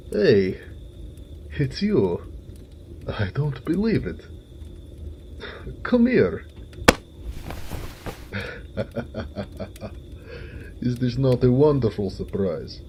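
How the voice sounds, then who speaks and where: Big friendly orc blacksmith , male actor, audio roleplay. Mellow, slow-spoken, folksy and fond, over faint background noise. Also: mid-range voice, creaky, exaggerated voice. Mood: surprised, delighted. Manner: folksy, affectionate, easygoing. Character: big, warm-hearted orc blacksmith, affectionate and jovial. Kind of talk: intimate romantic audio roleplay, one-sided conversation.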